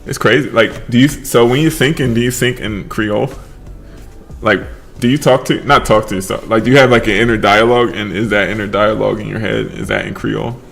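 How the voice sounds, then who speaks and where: A man casually chatting on a livestream while he paints, relaxed and slurring his words together, trailing off mid-sentence. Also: lowish voice, fairly quick, vocal fry. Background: faint lo-fi background music.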